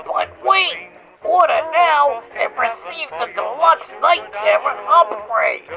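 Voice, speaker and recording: A woman playing a dramatic, creaky-voiced pitchwoman in an eerie 1940s-style radio advertisement, theatrical and emphatic. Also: lively intonation, slow, muffled recording, exaggerated voice. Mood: emphatic, salesy. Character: dramatic female pitchwoman selling an eerie home-defense gadget. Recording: music behind the voice.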